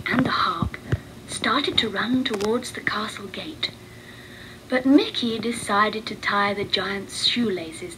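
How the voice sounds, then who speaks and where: A woman narrating a children's read-along storybook with deliberate, expressive phrasing. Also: medium pitch, background noise.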